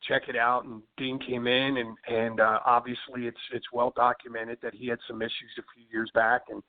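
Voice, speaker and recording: A man's voice: mid-range voice, little pitch movement, normal speed. A man from Philadelphia with a Philly accent, a rock singer chatting casually in an interview, rambling with lots of fillers and restarts. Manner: rambling, many fillers and restarts, says "you know what I mean". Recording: tinny phone line.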